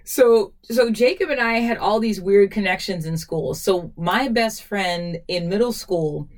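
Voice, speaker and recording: A woman in her forties hosting a casual podcast, animated and laughing easily, with a quick exasperated edge. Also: medium pitch. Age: 40s. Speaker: podcast host. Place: studio.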